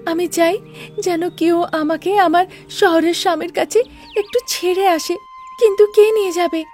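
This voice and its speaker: A very high-pitched young village bride from a cartoon tale, played by a woman. She sounds plaintive and wistful, pining for her husband, with faint music behind her. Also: steady tone, unhurried, a little mellow. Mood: sad, longing.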